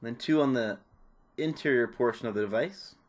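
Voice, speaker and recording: A man's voice: mid-range voice, brisk. A man presenting a repair tutorial, giving casual, informal step-by-step hands-on instructions. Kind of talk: casual hands-on how-to narration.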